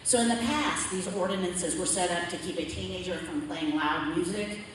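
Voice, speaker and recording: A low-voiced woman walks a community forum through her slides, explaining step by step and checking in with the room. She sounds far from the mic, her voice carrying through a big, echoing hall with a long reverb tail. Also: normal speed, slight background noise. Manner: plain explanatory, asks "okay?" to check in. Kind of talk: public presentation with slides. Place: large echoey meeting hall.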